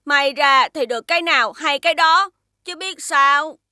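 A woman voicing a magical boy friend who lives in a spaceship, calm and sensible with a gently scolding manner, in a dubbed cartoon. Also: high voice, normal speed, no room sound, studio quality. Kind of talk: cartoon dubbing.

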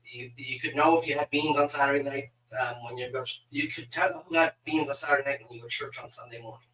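A middle-aged man chats as a podcast host with a bright, forward voice. He speaks haltingly, doubling back on words. The sound is roomy and set back from the mic, as on a video call. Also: medium pitch, fairly even intonation, far from the mic. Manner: halting, repeats words as he goes. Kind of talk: casual interview chat. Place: small home office on a video call.

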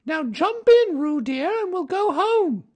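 A man voicing a fussy, distracted kangaroo mother in a storybook reading, in a high, squeaky falsetto. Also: sing-song, darkish tone, exaggerated voice. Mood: impatient. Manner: brisk, distracted, ignoring others.

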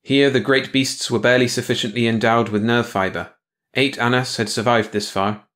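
A man's voice: mid-range voice, fairly even intonation, moderate pace, fairly bright tone. A man reading a scripted science fiction story as an audiobook narrator, in bedtime read-along style.

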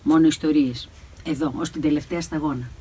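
A woman in her fifties with a husky voice giving a dramatic scripted reading on a studio microphone. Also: sits low, steady tone, mellow. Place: recording studio.

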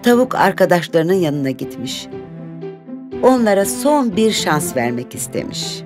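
Woman, storybook narrator, low pitch, expressive, lively intonation. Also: unhurried. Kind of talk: scripted children's fairy-tale narration. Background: music.

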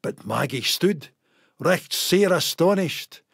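A Scottish man reciting a narrative poem in Scots in a theatrical, deliberate, emphatic storytelling manner. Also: on the high side, expressive, vocal fry, breathy edge, dry recording, studio quality.

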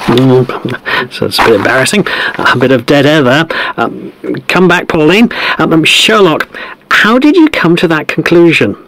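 A man's voice: on the high side, animated, moderate pace, noisy background. An actor playing a chatty, easily amazed male football podcast host in a scripted audio drama. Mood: upbeat.

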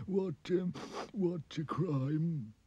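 A man voicing a miserable old king who cries all day and stammers in a high, quavering wail. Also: extremely slow, warm tone, husky, no room sound, heavily acted. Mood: tearful. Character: weepy miserable old king, always crying. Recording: dull lo-fi recording.

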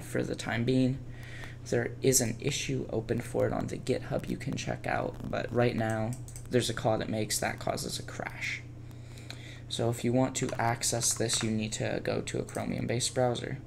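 A man presenting a casual step-by-step software tutorial as a screencast voice-over. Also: mid-range voice, creaky. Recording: faint background noise and keyboard typing.

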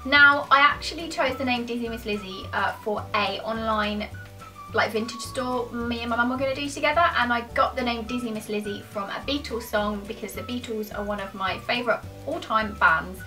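A woman's voice: fairly high pitch, a little fast, distant mic, music in the background. A British woman in her twenties chatting casually to camera in an informal, self-deprecating, rambling way. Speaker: YouTuber answering questions to camera. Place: small furnished room at home, indoors.

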